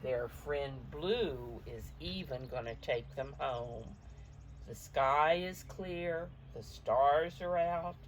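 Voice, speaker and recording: A woman reading a picture book aloud to young children, expressively, pausing to explain the pictures in simple words. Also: a bass voice, a little hiss or hum. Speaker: storybook reader for preschoolers.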